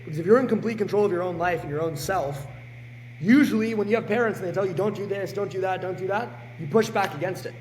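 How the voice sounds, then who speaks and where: A man in his late teens with a hoarse, sick voice, talking bluntly and energetically as a podcast guest. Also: sits high, fast, long reverb tail, slight background noise, low-quality recording. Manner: energetic and blunt, swears freely. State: hoarse, sick with a sore throat. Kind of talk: casual podcast chat.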